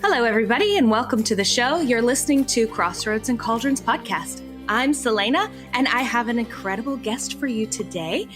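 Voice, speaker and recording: Woman host, a little high, eager and gushing, music playing underneath. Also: animated, brisk, hardly any pauses. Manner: eager and gushing, with many "um" fillers. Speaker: podcast host. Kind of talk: casual podcast interview.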